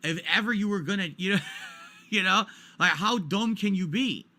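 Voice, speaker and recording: A man's voice: high-pitched, moderate pace, bright, forceful tone, a little hiss or hum. A man in his forties ranting during a stream, exasperated and repetitive, hammering his points home. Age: 40s.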